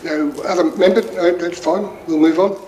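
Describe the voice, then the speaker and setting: A man chairing a council meeting, brisk and procedural. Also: high voice, fairly even intonation, a little mellow, a little hiss or hum. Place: council chamber, indoors. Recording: echoey chamber.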